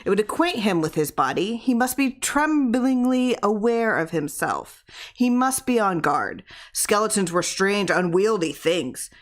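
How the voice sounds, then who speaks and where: A woman reading a literary horror story aloud in expressive audiobook narration. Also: mid-range voice, a little slow. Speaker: audiobook reader.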